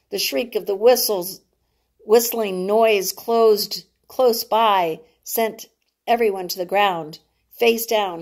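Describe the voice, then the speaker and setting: A woman reading a novel aloud as its author, in a deliberate, dramatic narrating style, sometimes stumbling over words. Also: mid-range voice, a little mellow.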